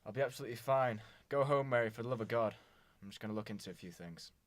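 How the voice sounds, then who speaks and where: A man's voice: medium pitch, fast, dry recording, close to an everyday voice. An actor playing a weary, dogged police inspector in a radio drama, brooding as he speaks. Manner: brooding, thinking aloud.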